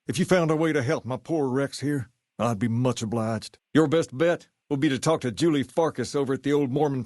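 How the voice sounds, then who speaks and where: An actor playing a suave, folksy gang leader in a video game, with a drawl and a cool swagger. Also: male voice, medium pitch, darkish tone, no room sound, clean studio sound, lightly acted. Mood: troubled. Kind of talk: video game dialogue acting.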